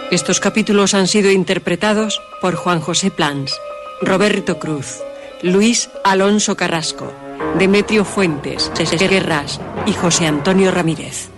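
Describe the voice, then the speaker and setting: A man's voice: sits high, unhurried, music in the background. A male radio announcer with a Castilian Spanish accent reading out scripted programme credits.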